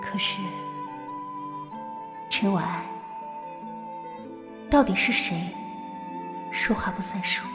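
A woman acting an affectionate, eager schoolgirl in a modern audio drama, with an expressive, earnest, girlish delivery. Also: medium pitch, slow pace, long pauses, loud music behind the voice, lightly acted. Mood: wistful narration. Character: affectionate, eager schoolgirl, devoted to her best friend.

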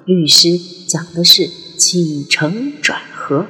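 A woman's voice: on the low side, lively intonation, slow pace, pushed and bright, in a large hall, heavily acted. A woman voicing a doting middle-aged father with a fondness for learned talk, in an audio drama. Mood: pleased, quizzing. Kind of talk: Chinese audio drama acting.

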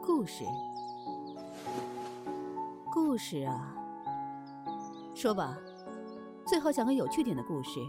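Actress as otherworldly deal-maker for fantasy radio play: cool, mocking, curt, lively intonation, music underneath. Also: female voice, medium pitch, takes it slowly, pauses often. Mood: dismissive. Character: aloof, teasing, mysterious supernatural wish-dealer. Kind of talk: Chinese audio drama acting.

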